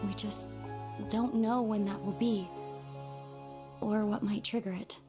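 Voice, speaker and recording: Casting: actress as war-weary younger woman, solemn and measured, sorrowful game drama delivery, clear music underneath. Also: medium pitch, steady tone, close to an everyday voice. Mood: grave, bitter. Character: grave, sorrowful young sister hardened by a long war. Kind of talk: dramatic video game voice acting.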